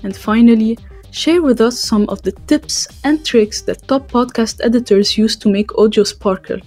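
A woman hosting a podcast, delivering prepared questions and scripted lines in a measured, formal way. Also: on the high side, a little mellow, faint music behind. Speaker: podcast host.

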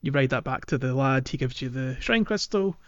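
A man's voice: mid-range voice, expressive, brisk, fairly bright tone. A man hosting a podcast, talking casually about a game and often circling back to correct himself as he goes. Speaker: podcast host. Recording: dull, lo-fi audio.

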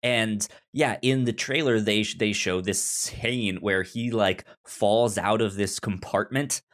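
A man co-hosting a casual film podcast, speaking haltingly and often stuttering over repeated words. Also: lowish voice, steady tone, a bit bright. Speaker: film review podcast co-host. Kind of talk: casual chat.

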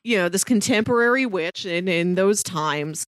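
A woman co-host in casual discussion, recorded dry with very little room sound. She speaks at a middle pitch for a woman and describes things thoughtfully, piece by piece. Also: expressive, normal speed, dry recording. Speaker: film podcast co-host.

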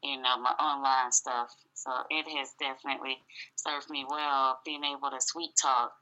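A raspy-voiced woman from Atlanta, a singer and model, talking laid-back as a guest on a phone interview, full of "you know"s. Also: very deep voice, flat delivery, normal speed, pushed and bright, a little hiss or hum. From Atlanta, Georgia, US. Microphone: phone line. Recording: dull lo-fi phone audio.

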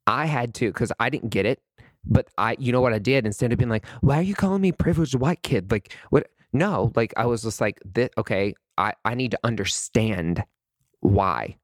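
A man's voice: medium pitch, moderate pace, no room sound. A young man giving a sassy, emphatic rant to the camera, calling people honey and sweetie. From American South. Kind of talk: impassioned direct-to-camera rant.

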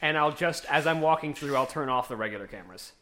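Guy with a bright sharp voice at a tabletop game, thinks out loud, trips over his words. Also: on the high side, animated, fast, a little hiss or hum. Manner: stumbling, repeats words while thinking aloud. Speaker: player.

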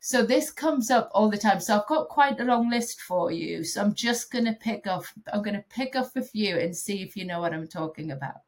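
A woman's voice: mid-range voice, animated, normal speed, hardly any pauses. A British woman guest with a head cold that makes her sound nasal, playfully quizzing her hosts on slang in a podcast chat. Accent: British English. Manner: playful, teasing with slang quiz. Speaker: guest, regional sales executive. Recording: faint background noise.

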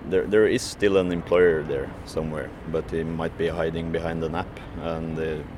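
A man with a very low voice, answering slowly and hesitantly, stopping often on fillers and restarting his sentences. Clear traffic and street noise run behind him. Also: little pitch movement. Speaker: striking food courier. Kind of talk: interview answers.

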